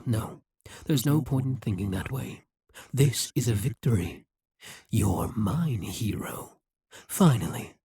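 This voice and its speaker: A man voicing a possessive, scheming demon king in an audio roleplay, speaking slowly and condescendingly. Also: medium pitch, mellow, breathy edge, no room sound, full character voice. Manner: condescending, slow and musing.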